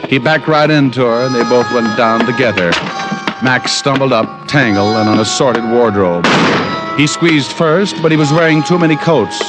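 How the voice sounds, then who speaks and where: An actor playing a hard-boiled private detective who narrates with deadpan wisecracks in a 1950s radio drama. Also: male voice, mid-range voice, normal speed, close to an everyday voice. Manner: wisecracking, deadpan tough-guy patter. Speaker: narrator and lead. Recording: old radio recording with band music.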